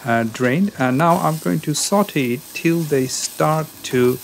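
A man's voice: on the high side, slow, gravelly, a little hiss or hum. A man narrating his cooking video in a casual, hesitant way, with many ums and uhs. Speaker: cooking channel host.

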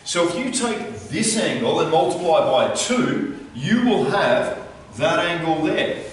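A man's voice: a little high, very animated, a little slow, slight background noise. A man explains a maths problem step by step as a teacher, informally, often checking in with short tag questions. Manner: informal, checking in with "right?" and "okay?". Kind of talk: explaining a worked problem at a whiteboard. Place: indoor classroom with whiteboard. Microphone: camera a few metres away. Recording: very echoey room, distant mic.

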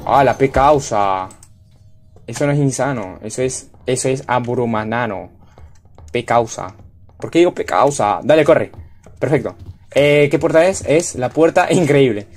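A young man from Venezuela streaming live gameplay commentary, excitable and quick to repeat himself and yell when startled. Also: medium pitch, faint music behind. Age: young adult. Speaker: gaming streamer. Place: home room, indoors.

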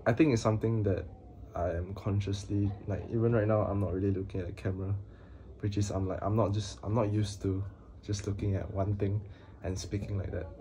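A young man talking to his camera in a small room, with a halting, hesitant manner, flat monotone delivery, and many pauses and fillers. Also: on the low side, a little hiss or hum. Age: young adult. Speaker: vlogger. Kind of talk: casual talk to camera.

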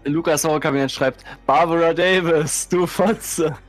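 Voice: medium pitch, steady tone, moderate pace. A giggly, hesitant live-stream host bantering casually with others. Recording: music behind the voice. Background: music.

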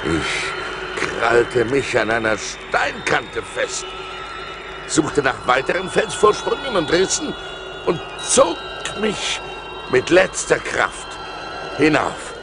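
An actor playing a shipwrecked sailor who recounts his perils in a husky, theatrical, suspenseful way, in an audio drama from a vinyl record. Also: medium pitch, sing-song, noisy background. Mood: desperate. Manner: dramatic, suspenseful delivery with deliberate pauses. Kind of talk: theatrical radio-play storytelling narration.

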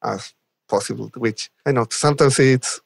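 A man's voice: mid-range voice, moderate pace, gravelly, slightly rough, no echo. Male developer advocate, dry close sound. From Chile. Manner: enthusiastic, talks in vivid examples. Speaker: podcast guest, cloud developer advocate. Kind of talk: conversational technical explanation.

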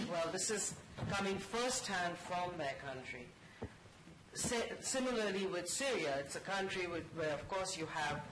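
A woman on a conference panel giving a measured, articulate answer into a handheld microphone. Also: on the low side. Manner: articulate, measured, says she has a loud voice. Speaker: panelist from an international organization. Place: conference room, seated on stage. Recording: roomy hall with background noise.

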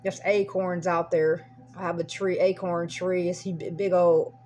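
A woman's voice: fairly low pitch, little pitch movement, a little slow, darkish tone. A woman narrating a home video in casual, folksy, rambling chat, often repeating her words. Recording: humming noisy amateur recording.

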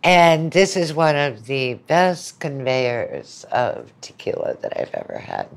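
Older female academic, notably low register, casual interview guest. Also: sing-song, slow pace, vocal fry. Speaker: podcast guest, university lecturer. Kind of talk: casual conversation.

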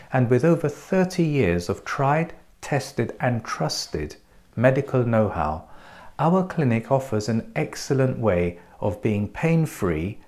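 A man in his forties presenting a clinic's treatment in a measured, explanatory scripted voice, recorded on a lapel mic in a bright treatment room. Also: mid-range voice. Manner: measured, explanatory, pointing things out.

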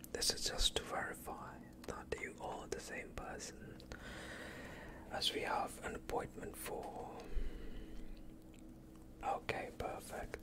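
Young guy doing a creepy polite vampire doctor, asmr whisper right up on the mic, really slow and calming. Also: natural voice. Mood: attentive, soothing. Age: young adult. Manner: hushed, slow, courteous, evasive. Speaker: ASMR roleplay performer. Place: quiet indoor studio, dark backdrop. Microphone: close off-screen microphone.

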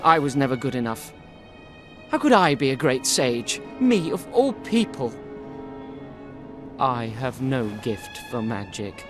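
Pompous insecure young prince for a game, slow talking, swoopy pitch, music behind. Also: male voice, very animated. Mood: dejected. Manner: formal, pompous, dramatic. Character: self-loathing young prince, haughty yet insecure and easily panicked. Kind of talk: fantasy game cutscene acting.

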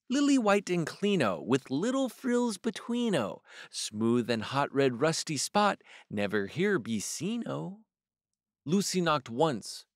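A man reading a children's picture book aloud with expressive, varied storybook delivery. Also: mid-range voice, dry recording, studio quality.

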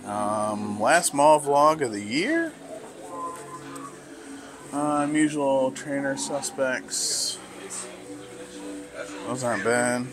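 A man vlogging casually as he browses sneaker stores in a mall, talking slowly and musing to himself with lots of fillers. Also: medium pitch, animated, crowd noise. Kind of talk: casual walk-through commentary.

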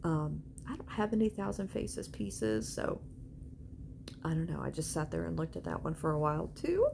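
A woman's voice: low-pitched, animated, moderate pace. A woman giving a casual, chatty shopping vlog voice-over in an informal way. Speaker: vlogger and antiques reseller. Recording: clear background noise.

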